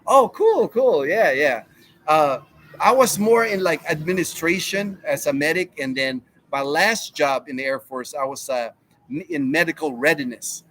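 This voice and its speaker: A man's voice: fairly high pitch, measured pace, slight background noise. Chatty man in his fifties. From United States. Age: 50s. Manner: relaxed, rambling, answers chat questions. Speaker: live stream host. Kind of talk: casual live Q&A chat. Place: home in the Philippines.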